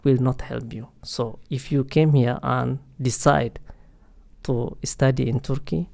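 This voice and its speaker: A man guesting on a podcast, halting as he speaks in a middle-pitched voice. He leans on "you know" and "uh" over and over, keeping the chat casual and searching. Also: steady tone, gravelly, a little mellow. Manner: hesitant, heavy use of "you know" and "uh" fillers. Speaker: guest, international student office staff.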